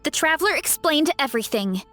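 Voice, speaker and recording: A woman voicing a sweet, gentle, earnest young woman in a video game. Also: sits high, animated, fairly quick, soft background music. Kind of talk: video game character acting.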